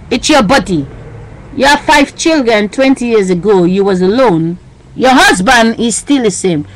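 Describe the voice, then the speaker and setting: A woman's voice: on the high side, a bit bright, poor audio. A woman talking into her webcam in a rambling, agitated monologue, repeating herself and using broken, non-native grammar. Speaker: webcam vlogger. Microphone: built-in webcam mic.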